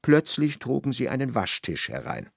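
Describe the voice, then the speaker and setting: A man narrating a literary radio drama in a measured, precise, dry manner. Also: mid-range voice, wildly swooping pitch, vocal fry, breathy edge, no echo.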